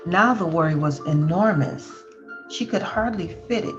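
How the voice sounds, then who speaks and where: A woman's voice: fairly low pitch, expressive, normal speed. A woman storyteller reading a children's picture book aloud, with a slightly dull recording. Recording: dull lo-fi audio with music. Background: faint music.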